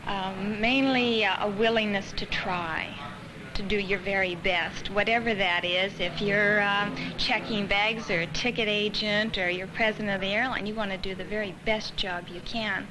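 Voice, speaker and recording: A woman's voice: medium pitch, fairly even intonation, moderate pace, a crowd in the background, poor audio. A woman working in airline customer service, speaking in a documentary interview on old film sound.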